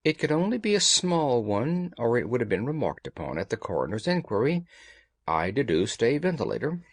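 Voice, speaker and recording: A man voicing a commanding, analytical gentleman detective in an audiobook, speaking precisely and formally. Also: medium pitch, sing-song, a little fast, no room sound. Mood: confiding, deductive. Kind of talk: audiobook character dialogue.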